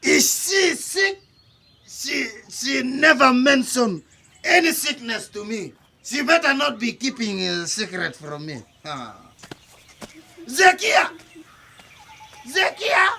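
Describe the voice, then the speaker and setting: An actor in a radio drama playing an angry, boastful, blustering village husband who stammers when worked up. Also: male voice, extremely high pitch, sing-song, takes it slowly, gravelly, long pauses, sharp tone, exaggerated voice. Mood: impatient.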